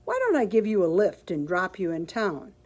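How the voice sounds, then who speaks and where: A woman reading a picture book aloud and voicing a fussy, hurried lady of the house in a brisk, slightly flustered way. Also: lowish voice, big pitch swings, a little mellow.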